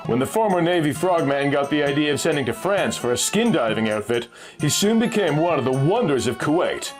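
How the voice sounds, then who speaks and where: A man narrating a pulp adventure story from a script, as a storyteller reading aloud. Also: mid-range voice. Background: music.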